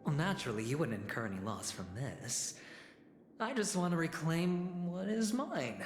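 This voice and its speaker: An actor playing a smooth, calculating male gambler in a video game, with a suave, insinuating, persuasive delivery. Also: on the high side, big pitch swings, moderate pace. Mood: confident, bargaining. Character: smooth, calculating gambler and negotiator, confident man. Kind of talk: scripted video game dialogue acting. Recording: echoey hall reverb under loud music.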